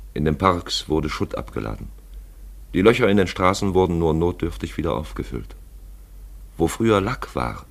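An observant chronicler narrating a literary radio drama. The voice is very deep and gravelly, with lively rises and falls. He describes things plainly, like a storyteller. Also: heavily acted. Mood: sombre. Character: observant male chronicler of a grey postwar city.

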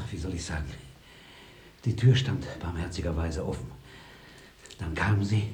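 A man playing an anxious, fatalistic critic in a radio play, theatrical and halting. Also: low-pitched, warm tone, lightly acted. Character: anxious, haunted Viennese critic, rambling and fatalistic. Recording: roomy radio recording with tape hiss.

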